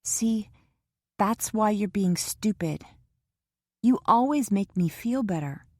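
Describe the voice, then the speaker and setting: A woman voicing an anxious, devoted young woman who talks eagerly and protests quickly in an audiobook. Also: medium pitch, animated, a little mellow, no room sound, studio quality, pitched above the performer's own voice.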